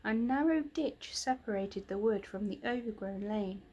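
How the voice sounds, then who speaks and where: A woman reading a children's story aloud in an expressive storytelling manner. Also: mid-range voice, moderate pace, dull audio. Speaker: reader of children's stories. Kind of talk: expressive scripted storybook narration.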